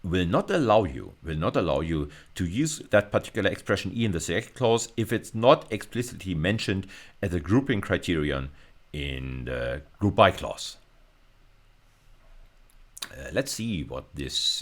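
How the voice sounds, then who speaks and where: A man's voice: sits low, big pitch swings, a little slow. A man lecturing at university level in an informal screencast style, explaining hesitantly and often repeating and rephrasing himself.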